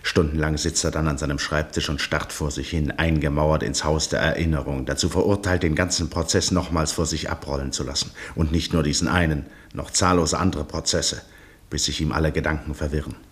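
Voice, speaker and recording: A man's voice: extremely low pitch, steady tone, fairly quick. A man narrating a radio drama from a script in a grave, precise, literary manner.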